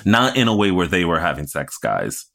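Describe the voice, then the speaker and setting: A man co-hosting a podcast in casual comedic recap chat, playful, crude and quick to swear. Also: low-pitched, big pitch swings, gravelly, fairly bright tone. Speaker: podcast co-host.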